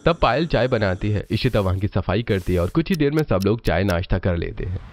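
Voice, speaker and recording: A man narrating a scripted family tale as a storyteller, with expressive delivery. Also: lowish voice, fairly quick.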